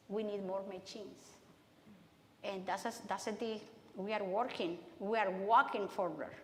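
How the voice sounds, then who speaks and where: A woman with a Spanish accent, a native Spanish speaker, giving a talk to a church audience in a halting, conversational way with many restarts. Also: mid-range voice, slight background noise. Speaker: guest speaker. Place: church hall. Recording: echoey hall with long reverb.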